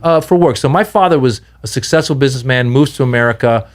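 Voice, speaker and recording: A middle-aged man from Iran, telling long stories in a casual podcast chat and jumping up in pitch when he gets excited. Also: quick pace. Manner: animated storyteller, pitch jumps high when excited. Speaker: guest comedian.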